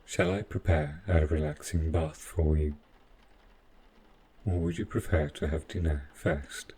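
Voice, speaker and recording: A man playing a devoted, overprotective robot butler in a scripted audio roleplay, speaking in formal, measured, literal phrases. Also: a bass voice, steady tone, mellow, a little hiss or hum. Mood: formal, caring.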